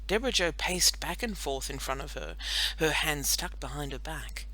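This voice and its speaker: A woman narrating a children's audiobook in an animated storytelling style. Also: deep voice, moderate pace, low-quality recording.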